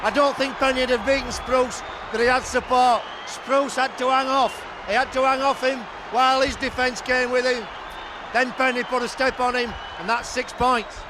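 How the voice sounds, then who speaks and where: A man breaking down rugby league plays as the co-commentator, with a very high voice. A loud crowd and clear hiss fill the archive broadcast around him. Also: level tone, normal speed. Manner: explains plays in detail. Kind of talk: analytical rugby match commentary.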